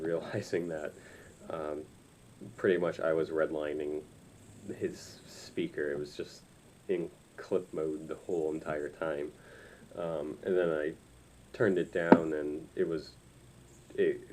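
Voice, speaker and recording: Young guy doing a casual gear review, really low mellow voice, kinda rambly, some noise in the room. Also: low-quality recording. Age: 20s. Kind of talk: casual review chat to camera. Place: small room indoors.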